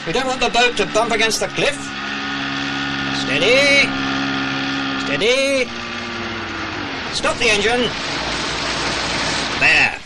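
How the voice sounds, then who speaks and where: An actor playing a brisk, kindly, practical English man in charge of children in a dramatised adventure audiobook. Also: very high-pitched, expressive, very noisy, full character voice. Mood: commanding. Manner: commanding, practical, reassuring. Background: birds and boat sounds.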